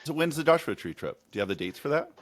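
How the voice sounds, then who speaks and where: A man's voice: a little high, sing-song, rapid-fire, no room sound. A man hosting a podcast, asking questions and chatting casually with a guest. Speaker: podcast host. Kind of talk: casual interview chat.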